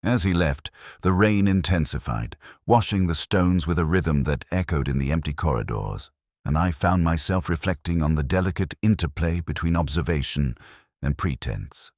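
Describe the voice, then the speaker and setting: A man reading a scripted literary detective story in the first person, with a measured, formal, reflective delivery. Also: very deep voice, lively intonation, no room sound, studio quality. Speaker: audiobook-style detective story narrator.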